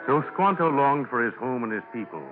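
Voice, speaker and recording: A man narrating a 1940s radio drama in a formal, measured storytelling style. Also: mid-range voice, expressive, music in the background. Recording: narrow-band 1940s radio broadcast.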